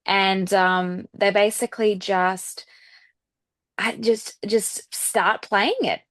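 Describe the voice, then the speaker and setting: A woman with an Australian accent chatting casually as an interview guest, often softening points with filler phrases like "kind of". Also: medium pitch, no room sound. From Sydney, Australia. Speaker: guest singer-songwriter.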